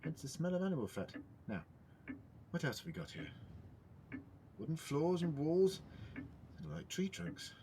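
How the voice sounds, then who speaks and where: Eccentric, indignant alien time traveller , male actor, audio drama. Theatrical, self-questioning, very lively pitch, faint rumbling noise. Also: a little slow, gravelly, pauses often, full character voice. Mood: inquisitive, indignant. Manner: theatrical, self-questioning, swinging from muttering to declaiming.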